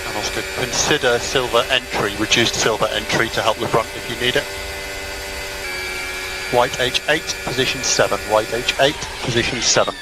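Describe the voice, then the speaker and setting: A man's voice: mid-range voice, steady tone, moderate pace. A man working as a race engineer, giving clipped, repeated, matter-of-fact instructions over team radio. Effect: team radio transmission. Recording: noisy car team radio, loud engine whine.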